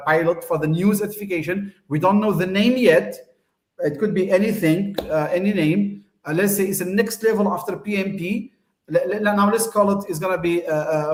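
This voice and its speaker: A man from Tunisia hosts a casual chat, explaining things with plenty of uhs and false starts. His voice sits a bit high for a man. The room around him rings with echo. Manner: hesitant, many fillers and restarts. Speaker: host.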